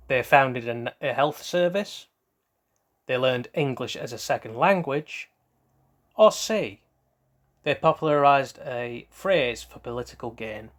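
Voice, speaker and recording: A man hosting a casual trivia quiz, reading out questions and then rambling loosely through hesitant asides about the answers. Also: medium pitch. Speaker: quiz host.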